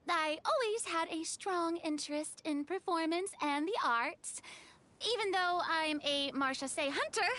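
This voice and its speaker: A woman's voice: extremely high pitch, sharp tone, heavily acted. A woman voicing a nervous, theatrical young noblewoman in a video game, hesitant and dramatic. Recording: game audio with faint music behind.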